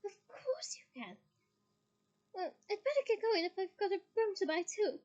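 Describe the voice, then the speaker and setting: A woman's voice: very high-pitched, brisk, a little mellow, dull audio, voice pushed up. A woman voicing a fussy, doting middle-aged mother who gushes breathlessly. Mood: gushing, breathless. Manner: breathless, gushing, fretful.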